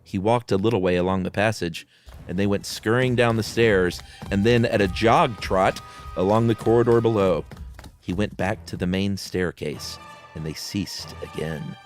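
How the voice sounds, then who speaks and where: A man's voice: fairly low pitch, moderate pace. A man hosting and reading a horror story dramatically, with casual asides. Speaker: podcast host and story reader. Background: music.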